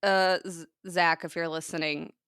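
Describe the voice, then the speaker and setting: A chatty woman co-hosts a podcast in a relaxed, laughing manner. Her voice sits a little low. The sound is close and dry, with no room around it. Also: a little slow, smooth, no room sound. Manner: chatty, laughs often, trails off mid-thought. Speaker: podcast co-host. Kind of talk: casual chat. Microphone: podcast microphone.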